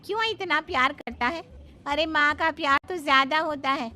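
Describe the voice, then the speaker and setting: A woman's voice: high voice, moderate pace, pushed and bright, a little hiss or hum. A woman voicing a nosy, meddling friend in a cartoon story, gossipy and goading. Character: nosy, meddling neighbourhood friend of the mother-in-law. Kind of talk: cartoon moral-story dialogue.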